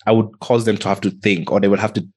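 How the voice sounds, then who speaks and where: A man's voice: on the low side, level tone, quick pace, husky. A young man from Antigua, a podcast guest telling his life story in a reflective way, often chuckling. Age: young adult. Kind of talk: casual interview storytelling.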